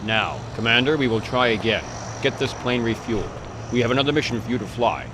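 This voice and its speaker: An actor voicing a cold male villain boss giving calm, menacing orders. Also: medium pitch, a little fast, noisy background, close to an everyday voice.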